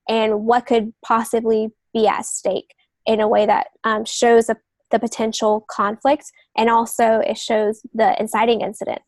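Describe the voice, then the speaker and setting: A woman's voice: a little high, a little mellow, dry recording. A woman guest on a podcast, explaining writing craft casually, step by step. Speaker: podcast guest, novelist and literary agent.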